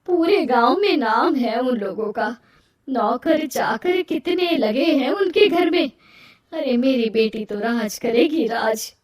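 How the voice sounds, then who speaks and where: A woman puts on a pushy village mother for a cartoon tale. She is melodramatic and exclaiming, fairly high in pitch, with a roomy echo around her. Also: expressive, takes it slowly. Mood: threatening, proud. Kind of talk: animated moral story dialogue. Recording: roomy echo, distant from mic.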